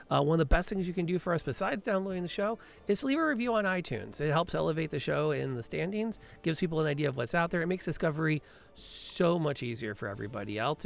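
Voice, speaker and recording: A man's voice: a little high, vocal fry. A man hosting a podcast and live stream, chatting in a rambling, upbeat way with lots of fillers and restarts. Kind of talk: casual chat on a live stream.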